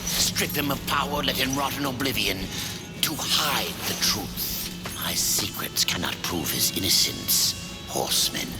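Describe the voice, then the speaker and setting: An actor playing a bitter, tormented old keeper of secrets in a fantasy game, with an ominous, theatrical delivery over music. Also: male voice, medium pitch, lively intonation, close to an everyday voice. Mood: scornful. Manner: slow, ominous, dramatic delivery. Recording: loud cinematic music behind. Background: loud music.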